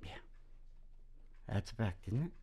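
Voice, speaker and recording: A man's voice: unhurried, mellow, slight background noise. A man hosting a casual philosophical chat, talking in a rambling, folksy, preachy way and often checking that he is agreed with. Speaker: host.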